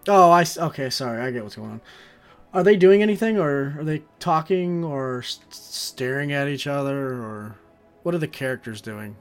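A man's voice: mid-range voice, animated, moderate pace. A man with a mid-range voice chats casually as a player at a tabletop roleplaying game. He speaks in plain, conversational questions, with faint music underneath. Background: background music.

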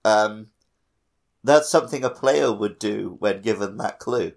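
A man's voice: fairly low pitch, measured pace, gravelly, a little hiss or hum. A man co-hosting a casual podcast conversation about a video game. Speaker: podcast co-host. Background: background music.

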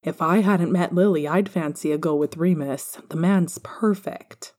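A woman's voice: on the low side, measured pace, darkish tone. A woman voicing a jovial, exclaiming young father and loyal best mate in an audiobook. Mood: admiring. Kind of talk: audiobook fan fiction character dialogue.

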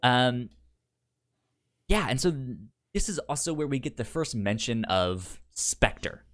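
A man co-hosting a podcast in casual film discussion, halting and often repeating words as he paraphrases. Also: medium pitch, big pitch swings, a bit bright. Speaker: podcast co-host.

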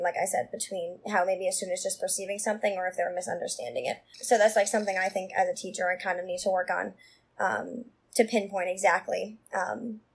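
Woman, vocal fry, mid pitch, casual chatty teacher. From Long Island, New York. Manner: chatty, many ums and likes. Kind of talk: casual discussion.

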